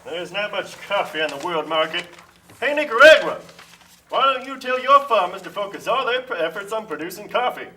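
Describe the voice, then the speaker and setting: A man acts out a confident free-trade adviser for a puppet play. The voice is high for a man, bright and forceful, heard at some distance from the mic. He declaims like a pushy salesman. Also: expressive, a little fast, a little hiss or hum, exaggerated voice. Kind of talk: amateur political puppet show.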